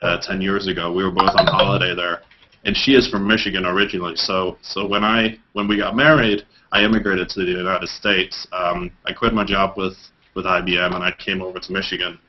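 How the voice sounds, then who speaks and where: A man from Denmark in his thirties, answering interview questions over a video call. His voice sits very low, and he stumbles through restarts and fillers. The audio is dull and lo-fi. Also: flat delivery, fairly quick, a little hiss or hum. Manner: hesitant, many fillers and restarts. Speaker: interview guest.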